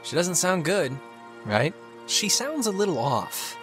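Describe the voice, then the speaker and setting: Teenage boy, American, high voice, swooping intonation, goofy laid-back stoner, rambling and defensive, game dialogue over music. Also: sing-song, measured pace. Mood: musing. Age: young adult. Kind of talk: naturalistic video game dialogue. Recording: music behind the voice.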